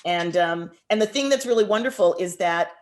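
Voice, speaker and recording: Woman author talking and reading, kinda low voice, reflective, stretches out key words. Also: a little fast. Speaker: author and poet, guest. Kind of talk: reflective interview answers and poetry reading.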